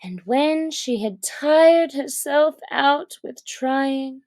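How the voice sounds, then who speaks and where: A woman narrating a children's story from a script, with expressive, deliberate and dramatic phrasing. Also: sits high, dry recording.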